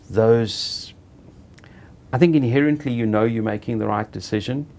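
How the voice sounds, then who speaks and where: A middle-aged South African man being interviewed, telling his own story in an unhurried, halting way and often correcting himself. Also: on the low side, gravelly, darkish tone, slight background noise. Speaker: interviewee, property director. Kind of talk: reflective personal storytelling.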